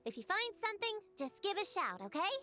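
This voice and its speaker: A woman's voice: very high-pitched, sing-song, brisk, bright, forceful tone, heavily acted. Bossy know-it-all little witch. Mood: earnest. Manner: earnest, bossy, lecturing. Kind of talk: game voice acting.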